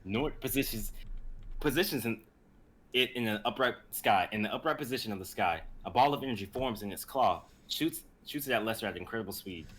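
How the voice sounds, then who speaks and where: A young man with a lowish voice narrates a fantasy screenplay. He reads scene headings and stage directions dramatically, sometimes stumbling over a phrase and picking it up again. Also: animated, a little fast, fairly bright tone, rough recording. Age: young adult. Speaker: narrator and reader.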